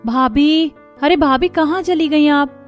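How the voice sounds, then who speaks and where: A woman's voice: high-pitched, slow. A woman voicing a demanding college-age sister-in-law in a cartoon story, casual and pushy in manner. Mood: breezy, pushy. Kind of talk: Hindi cartoon story dialogue. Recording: music behind the voice.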